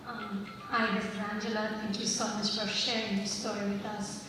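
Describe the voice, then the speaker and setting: A woman's voice: medium pitch, little pitch movement, normal speed. A young woman, a student in the audience, politely and a little hesitantly asking a question. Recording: echoey hall from a distance, faint noise.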